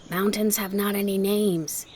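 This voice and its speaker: A woman's voice: medium pitch, measured pace, a little hiss or hum, close to an everyday voice. A woman voicing a composed young goatherd boy in a storybook, giving plain, matter-of-fact answers. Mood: calm, matter-of-fact. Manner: plain, calm, short answers.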